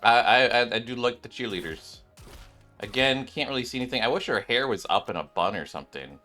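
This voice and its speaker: A man hosting a gaming channel with casual, excitable commentary, often exclaiming and repeating his phrases. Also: mid-range voice, brisk. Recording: music behind the voice.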